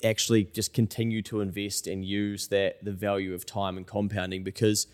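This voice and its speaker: A man's voice: lowish voice, level tone, moderate pace, clean tone. A man in his thirties with a New Zealand accent, hosting a casual chat in an informal, anecdotal, self-deprecating way. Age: 30s. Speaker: interview host.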